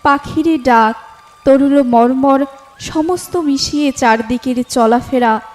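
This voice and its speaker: A woman's voice: high voice, takes it slowly, darkish tone. A woman reading a literary story as a scripted narration. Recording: music bed behind the voice. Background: background music.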